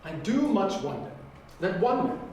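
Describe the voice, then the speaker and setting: A man's voice: high-pitched, sing-song, darkish tone, pitched above the performer's own voice. Man acting a swooping theatrical orator, echoey. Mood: wry, incredulous. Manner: measured, formal, dramatic. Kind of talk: theatrical audition monologue. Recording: very echoey room, distant mic, faint noise.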